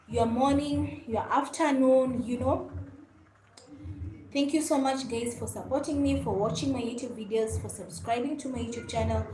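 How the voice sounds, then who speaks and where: A woman with a Kenyan accent chats casually and in a friendly way as the host of a livestream. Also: fairly high pitch. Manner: friendly, mixes English and Swahili, often thanks and greets viewers. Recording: echoey room with faint background noise.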